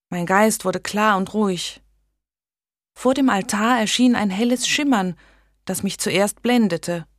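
A woman's voice: mid-range voice, no room sound, studio quality, voice pushed up, close to an everyday voice. A woman voicing a pious young noblewoman who earnestly pleads with a king, in a storybook reading. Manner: earnest, imploring.

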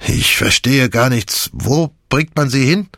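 A man's voice: fairly low pitch, little pitch movement, moderate pace, no room sound, studio quality, voice pushed up, close to an everyday voice. A man reading an audiobook, voicing a frantic, anguished young man who blurts out urgent questions. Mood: agitated. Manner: bursting out in urgent, loud questions. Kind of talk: audiobook dialogue.

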